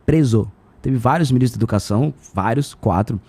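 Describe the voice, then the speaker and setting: A man's voice: mid-range voice, brisk, darkish tone. A Brazilian man in casual political discussion, speaking colloquially and repeating himself for emphasis.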